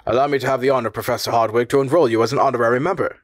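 A man's voice: mid-range voice, fairly even intonation, racing, dry recording, studio quality, natural voice. A man voicing a courteous, proud Icelandic male professor in an audiobook, speaking formally, earnestly and eloquently.

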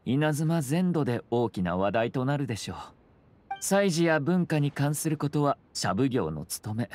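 An actor voicing a suave young noble lord in a video game, speaking formal, measured with courteous composure and subtle wryness. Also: male voice, mid-range voice, sing-song, a little mellow, slight background noise. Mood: courteous, composed.

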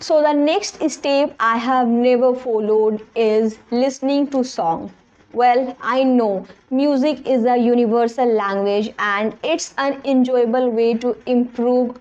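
A woman's voice: on the high side, a little slow, a little mellow, lo-fi sound. A young woman whose first language is Hindi talks earnestly to the camera in her bedroom about learning English. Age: young adult. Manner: earnest, plain and direct. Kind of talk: casual talk to camera. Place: small bedroom indoors. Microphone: camera in front at close range.

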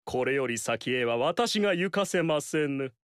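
A flamboyant court strategist from a historical action game, who talks in a high, swooping voice full of courtly flourishes. He lingers over each word and doubles his phrases for effect. The sound is close and dry, with no room around it. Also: animated, a little slow, fairly bright tone, dry recording. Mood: scheming. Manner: theatrical, courtly, repeats phrases for emphasis. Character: flamboyant, fawning male court strategist, middle-aged. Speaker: game character. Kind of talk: video game voice lines.

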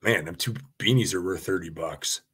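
A man on a video call running a live fishing-tackle auction, calling bids in a rapid rhythmic chant with joking banter. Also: low voice, fairly bright tone, breathy edge. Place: home room on a video call.

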